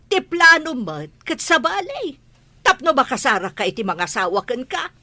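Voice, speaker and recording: A woman's voice: high voice, big pitch swings, normal speed, sharp tone, dry recording, exaggerated voice. A woman playing an anxious but firm middle-aged rural mother in a radio drama, with an Ilocano accent. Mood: firm, chiding.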